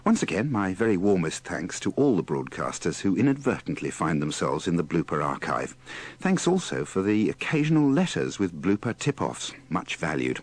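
A man's voice: low voice, sing-song, moderate pace. A man presenting a radio compilation with scripted, humorous linking narration.

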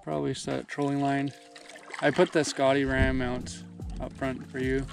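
A man's voice: medium pitch, moderate pace, gravelly. A young man, chatting casually through his camping and fishing vlog, outdoors at a campsite and on a lake. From Canada. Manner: relaxed, upbeat gear commentary. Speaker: outdoor vlogger. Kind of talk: casual chat. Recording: background music under voice. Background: music.

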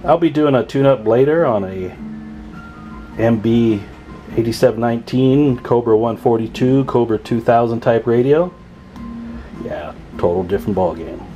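A man's voice: mid-range voice, moderate pace, dark tone, dull audio, faint music behind. A man hosting a casual hands-on tutorial as a radio hobbyist, explaining informally step by step at an indoor workbench. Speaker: radio repair hobbyist, video host.

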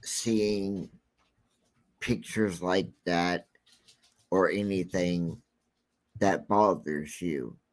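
A man with a deep, scratchy voice hosting a true crime channel, talking casually and rambling with many fillers. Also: fairly even intonation, drawn-out words, long pauses.

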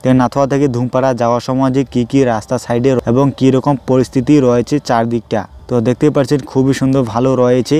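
A man's voice: medium pitch, little pitch movement, fast, few pauses, mellow. A man narrating a travel vlog in loose, repetitive, improvised phrasing. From West Bengal, India. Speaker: travel vlogger. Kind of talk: travel vlog narration pointing out roads and places.

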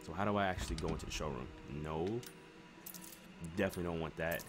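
A young American man giving casual, slangy let's play commentary, rambling and thinking out loud. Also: deep voice, quick pace. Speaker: gaming streamer. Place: room at home. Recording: clearly noisy home webcam stream. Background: car game sounds.

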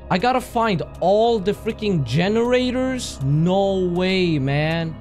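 Jumpy young man yelling through a horror game. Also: sits high, lively intonation, takes it slowly, faint music behind. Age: young adult. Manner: jumpy, yelling and gasping at scares. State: hoarse, voice strained from screaming. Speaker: gaming streamer. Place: small room indoors. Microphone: headset.

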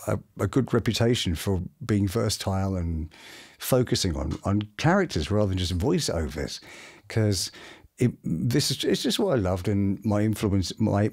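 Middle-aged male voice actor as interview guest: chatty, lively pitch swings, conversational storytelling. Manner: animated, chatty storytelling with quick asides. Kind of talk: casual interview anecdotes. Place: small home studio room, indoors.

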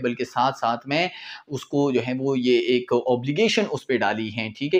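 A man's voice: mid-range voice, dull audio. A man in his twenties with a Pakistani accent, lecturing informally on law and explaining as he goes, mixing in English legal terms. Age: 20s. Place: small indoor room with whiteboard.

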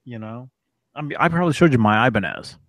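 A man in his thirties, a guest talking in relaxed, halting bursts on a studio mic with headphones over a video call. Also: medium pitch, no echo. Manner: relaxed, halting, repeats words. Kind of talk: casual chat.

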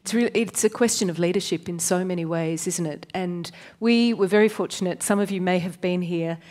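A woman's voice: on the low side, fairly quick, a little mellow. Woman moderating a panel. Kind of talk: panel discussion. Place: stage of a large auditorium.

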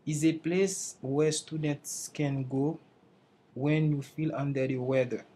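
Slow, careful man presenting. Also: on the high side, fairly even intonation, mellow. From Haiti. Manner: slow, careful, with restarts and searching for words. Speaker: English language student presenting. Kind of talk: class presentation over slides.

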